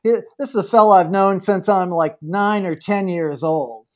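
A man's voice: high voice, normal speed. A middle-aged man chatting casually as co-host of a podcast, on a somewhat lo-fi recording.

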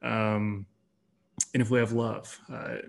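A man's voice: fairly low pitch, little pitch movement, takes it slowly, gravelly. A man in his twenties, a guest author in a casual interview, talking earnestly with many restarts and fillers. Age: 20s.

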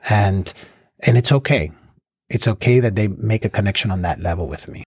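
A man's voice: lowish voice, animated, normal speed, breathy edge, dry recording, clean studio sound. A middle-aged man from the United States, thoughtfully reflecting in an interview. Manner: thoughtful, reflective, with small restarts. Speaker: cross-cultural mediator. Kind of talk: interview answers.

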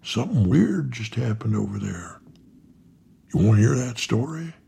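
A man doing a jokey, gravelly buddy. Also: medium pitch, sing-song, normal speed, dark tone, exaggerated voice. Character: jokey rough-edged male hiking buddy.

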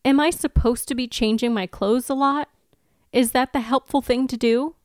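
A woman's voice: high voice, steady tone, moderate pace, darkish tone, no echo, studio quality, pitched above the performer's own voice, close to an everyday voice. A woman voicing a blunt, bewildered young woman who was once a demon and is newly human, asking plain, insistent questions. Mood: puzzled.

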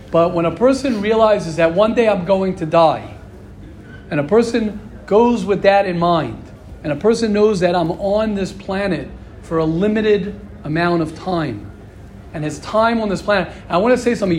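A man's voice: sits high, a little mellow, slight background noise. A married man giving an informal religious talk as a Torah teacher, earnest and rambling, repeating phrases and adding asides. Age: adult, married with kids.

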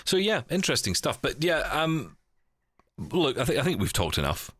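A man's voice: mid-range voice, very animated, normal speed, a bit bright, breathy edge, no room sound. A man hosting a casual podcast chat, speaking in a conversational, opinionated way. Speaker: podcast host.

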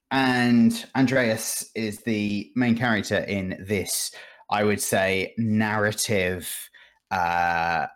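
A man hosting a podcast, explaining things casually in a rambling, halting way with asides. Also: deep voice. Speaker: podcast host. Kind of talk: casual video game review chat.

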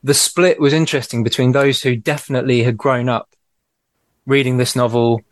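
A man's voice: medium pitch, fairly even intonation, brisk, no echo. Thoughtful man on a dry call. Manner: thoughtful, hesitant, with restarts and laughs. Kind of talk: casual podcast conversation. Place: home, remote call.

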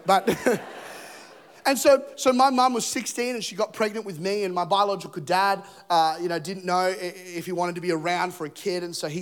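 A young man with an Australian accent, originally from England, preaching a joking, self-deprecating sermon with a slightly rough voice. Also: high voice. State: voice a bit rough. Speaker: pastor. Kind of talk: humorous sermon. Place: church auditorium.

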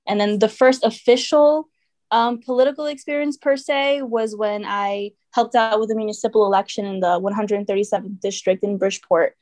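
A woman's voice: medium pitch, fairly even intonation, moderate pace, a little mellow, no echo. A young woman from Connecticut, talking as a podcast guest in a chatty way, full of fillers and hedges. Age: young adult. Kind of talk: casual podcast interview.

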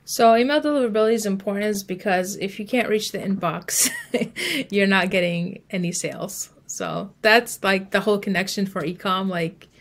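A woman speaking as an expert guest in a conversational interview, explaining things step by step in an informal way, with plenty of "like" and "you know". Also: medium pitch. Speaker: guest email marketing expert.

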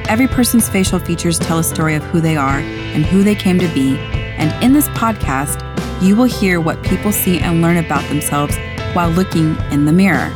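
Enthusiastic woman podcast host, low voice. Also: lively intonation, moderate pace, music in the background. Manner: enthusiastic, effusive. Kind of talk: podcast interview.

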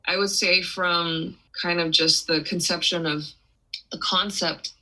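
A young woman from Taiwan speaks in a low yet bright, forward voice. She answers interview questions in a loose, chatty way, restarting her sentences and scattering fillers as she goes. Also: fairly even intonation, measured pace, rough recording. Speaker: musician guest.

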